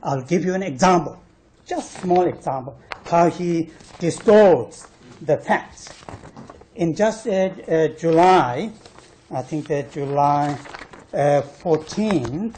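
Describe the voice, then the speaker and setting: A man who is not a native English speaker, arguing his case on a panel in an emphatic, halting way, repeating words for stress. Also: fairly high pitch, animated, a little mellow, a little hiss or hum. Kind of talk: argumentative panel talk. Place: university panel discussion room.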